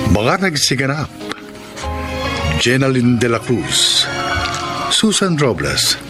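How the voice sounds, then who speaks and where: A male radio announcer reading a solemn closing message, credits and the next story's introduction in a measured way. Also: mid-range voice. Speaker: program announcer. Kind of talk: formal radio drama outro and intro. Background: loud music bed.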